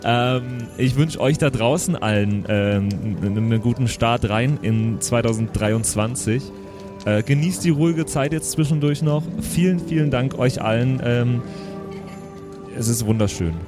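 A man hosting a podcast, talking casually and thankfully to his listeners with effusive warmth. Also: mid-range voice, measured pace. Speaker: podcast host. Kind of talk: casual chat, signing off to listeners. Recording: loud music bed. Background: music.

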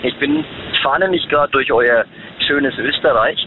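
A man's voice: mid-range voice, moderate pace. Man calling in from a driving car. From Germany. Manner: easygoing, rambling, laughs. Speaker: caller. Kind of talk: call-in chat. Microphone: phone. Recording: phone line with road noise.

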